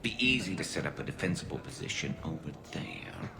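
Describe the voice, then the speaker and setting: A man's voice: a bass voice, animated, fairly quick, creaky, whispered, heavily acted. A man playing a wry, battle-hardened alien soldier in a video game, musing dryly like a tactician. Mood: musing, wary. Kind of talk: video game character acting.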